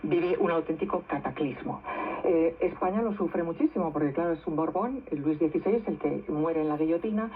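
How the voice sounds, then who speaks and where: A woman's voice: deep voice, a little fast, breathy edge, narrow, tinny audio. A woman, a novelist guest explaining history in a radio interview, often ending her points with tag questions. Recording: thin shortwave radio reception.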